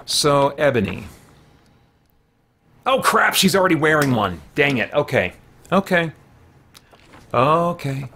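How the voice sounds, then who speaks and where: A man in his forties giving casual livestream game commentary, halting as he thinks aloud. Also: a little high, very animated, gravelly, pushed and bright, a little hiss or hum. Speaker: video game streamer and podcast host. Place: small room at home, indoors.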